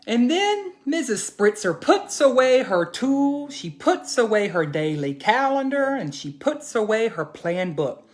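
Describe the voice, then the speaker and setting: A man reading aloud and teaching for children, expressive and addressing them directly with questions. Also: very high-pitched, unhurried, hardly any pauses. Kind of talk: children's storybook read-aloud and lesson.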